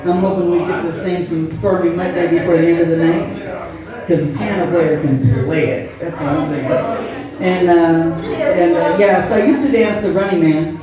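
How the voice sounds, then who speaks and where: Middle-aged female bar comedian, folksy rambler, distant mic in a very echoey room with audience noise. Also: fairly low pitch. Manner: rambling, folksy, self-deprecating. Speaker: stand-up comedian and event host. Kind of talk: casual stand-up comedy set. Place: live in a bar and grill.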